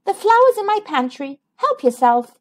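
A woman plays a kindly female porcupine from a storybook. The voice is high and sing-song, swinging up brightly with eager generosity. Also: very animated, a little slow, full character voice. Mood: generous, helpful.

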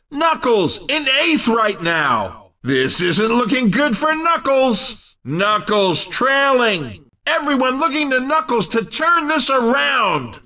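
A man's voice: extremely high pitch, sharp tone, exaggerated voice. A man playing a brash, playfully teasing video game race commentator, with punchy, theatrical announcer delivery and a slightly distant sound.

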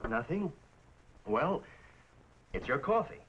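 An actor playing a glum, grumbling young husband with a dry manner in a 1960s TV commercial, on a vintage soundtrack. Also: male voice, lowish voice, sing-song, fast. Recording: dull, faintly noisy vintage film soundtrack.

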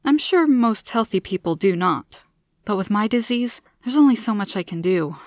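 A candid, friendly woman who tires easily, as a female narrator puts her on. She speaks plainly and earnestly, with some emphasis. The storybook character has a mid-range female pitch and a dry, echo-free sound. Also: lively intonation, normal speed, darkish tone, close to an everyday voice. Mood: apologetic, resigned.

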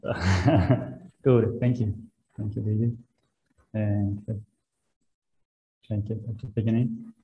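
A man's voice: on the low side, steady tone, slow pace, long pauses. A young man presenting at a conference over a video call, polite and hesitant. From Turkey. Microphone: wired earbuds on video call. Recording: muffled video call with faint noise.

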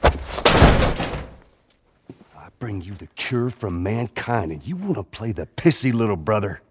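An actor playing a gruff, weary middle-aged survivor in a video game, terse, with a drawl. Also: male voice, on the low side, sing-song, a little slow, in a large hall, heavy background noise. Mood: angry, pleading. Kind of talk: dramatic video game acting.

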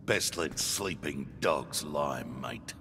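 An actor playing a cocky, sleazy mercenary crime boss in a video game, with a rough Australian accent and a taunting manner. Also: male voice, extremely low pitch, slow pace, noisy background, full character voice. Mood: sardonic. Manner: taunting, sardonic. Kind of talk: video game character banter. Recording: game audio over loud ambience and music.

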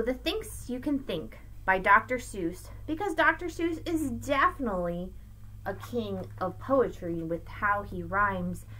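A middle-aged woman teacher giving an expressive, emphatic read-aloud lesson for children, recorded on a webcam in a room at home. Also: mid-range voice, poor audio. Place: small room at home with bookshelves, indoors. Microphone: webcam at arm's length.

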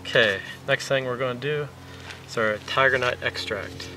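A man in his thirties talks through a recipe in a relaxed, plain way, with a few fillers along the way. He sits outdoors by a lake, a few meters from the camera, so his voice sounds distant. Steady outdoor noise runs under his words. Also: mid-range voice, normal speed. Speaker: fishing vlogger. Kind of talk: casual how-to demonstration. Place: outdoors on a lakeshore. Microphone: camera mic from a few meters away.

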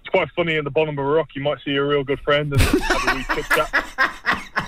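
A man's voice: on the high side, brisk, heavy background noise. A young man with an Irish accent, a rugby player chatting casually as a guest and often repeating himself. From Ireland. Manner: relaxed, rambling, repeats himself. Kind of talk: casual interview chat.

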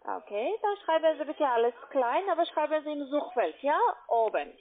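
A high female voice with a foreign accent comes down a narrow, muffled phone line. She is a call center agent who walks a customer through steps, pressing and repeating her requests. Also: animated, normal speed. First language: not German. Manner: insistent, repetitive. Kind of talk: phone sales instructions.

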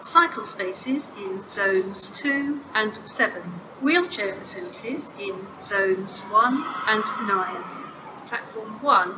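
Slow automated woman announcer. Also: mid-range voice, animated, background noise. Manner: measured, formal, clearly spaced words. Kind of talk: recorded public announcements. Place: railway station platform. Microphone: station loudspeakers recorded from far off. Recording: echoey platform speakers from far off. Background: station ambience.

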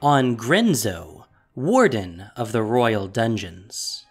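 A man playing a formal herald who announces grand titles in a fantasy audio drama, ceremonious and deliberate. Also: mid-range voice, big pitch swings, breathy edge, heavily acted. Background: background music.

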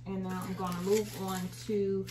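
A woman's voice: medium pitch, little pitch movement, moderate pace, dark tone. American woman in a roomy, distant recording. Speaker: home gardening video host. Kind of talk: casual how-to demonstration. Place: small indoor room at home. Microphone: camera a short distance away. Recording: roomy, distant mic, faint noise.

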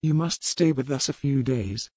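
Stubborn, weakened young gentleman, played by a man, dry sound. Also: medium pitch, moderate pace, husky, dry recording, natural voice. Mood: earnest. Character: weakened, stubborn, trusting male invalid traveller. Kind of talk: audiobook character dialogue.

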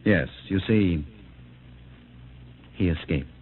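Husky, suave controlling official. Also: male voice, low-pitched, takes it slowly, full character voice. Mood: placating, cold. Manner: suave, patronizing. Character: smooth, controlling older official courting his fiancee. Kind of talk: 1950s radio drama acting. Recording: tinny old radio recording.

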